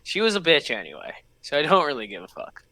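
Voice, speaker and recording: A man's voice: a little high, wildly swooping pitch, fast, bright, forceful tone, dry recording. A man hosting a reaction video with casual, blunt, sweary commentary, often repeating himself.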